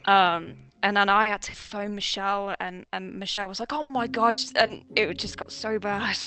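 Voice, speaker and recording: A woman's voice: mid-range voice, fairly even intonation, moderate pace, pushed and bright, faint music behind. A young woman chatting casually, telling stories with swearing and nervous laughs. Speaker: voice actress guest. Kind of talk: casual chat.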